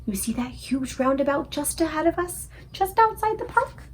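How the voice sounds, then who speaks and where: Woman as an urgent, high-voiced little storybook girl. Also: animated, normal speed, slight background noise. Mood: urgent, anxious. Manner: urgent, hushed questioning. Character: small girl, urgent, excitable, cautious, riding in giant's ear. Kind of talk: children's book character voice.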